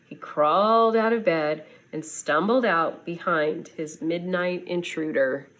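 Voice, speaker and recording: A woman reading a children's story aloud like a schoolteacher, with expressive, dramatic storytelling. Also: lowish voice, slow, a little hiss or hum, poor audio.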